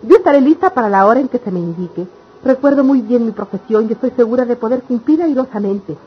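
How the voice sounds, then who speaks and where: A woman's voice: a little high, lively intonation, quick pace, slightly rough. An actress with a Mexican accent playing a grateful, devoted village mother and schoolteacher in an old radio soap. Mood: grateful, dignified. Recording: muffled, noisy old radio recording.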